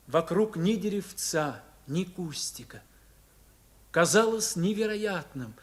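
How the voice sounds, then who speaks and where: An actor playing a reflective young sailor who narrates lyrically and expressively in a 1950s Soviet radio drama. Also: male voice, high voice. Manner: expressive, literary, wistful. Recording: old 1950s radio recording.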